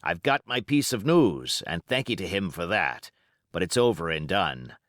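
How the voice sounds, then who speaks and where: A man voicing a cunning, smooth-talking pirate with folksy, ungrammatical seafaring speech in an audiobook. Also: low voice, big pitch swings, moderate pace, a bit bright, dry recording, clean studio sound. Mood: sly, menacing. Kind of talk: audiobook character dialogue.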